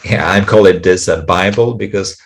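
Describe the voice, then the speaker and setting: Guy doing a screen share tutorial, lowish gravelly voice, lots of uhs and restarts. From Poland. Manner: halting, frequent fillers and restarts, non-native grammar. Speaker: accessibility testing presenter.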